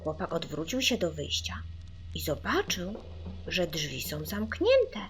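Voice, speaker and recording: A woman's voice: on the low side, wildly swooping pitch, slow pace, faint music behind. A woman reading a children's audiobook in a theatrical, suspenseful narrating style. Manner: theatrical, suspenseful, dropping into whispers. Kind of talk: dramatic scripted narration of a children's spooky story.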